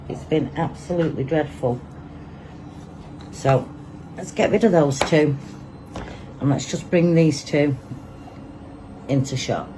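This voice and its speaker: Low-voiced Manchester woman, chatty crafter. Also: dark tone, slight background noise, low-quality recording. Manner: chatty, thinks aloud while working. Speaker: resin crafter demonstrating. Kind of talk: casual hands-on tutorial.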